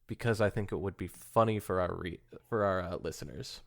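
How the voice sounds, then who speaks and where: Gravelly man chatting casually. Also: deep voice, fairly quick. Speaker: podcast co-host. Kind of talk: casual joking chat.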